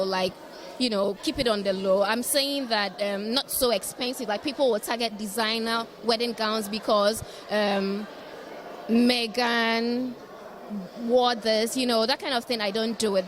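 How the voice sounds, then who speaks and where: A woman's voice: on the high side, slight background noise. A woman from Ghana answering a street interviewer's questions, thinking aloud and repeating herself as she explains.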